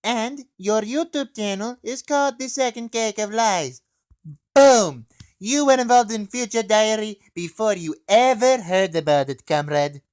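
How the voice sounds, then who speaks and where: A man voicing a brash, wisecracking counter-terrorist operator, teasing and excitable, in a comedy review. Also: high voice, unhurried, voice pushed up. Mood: smug, excited. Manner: loud, teasing, calls people comrade.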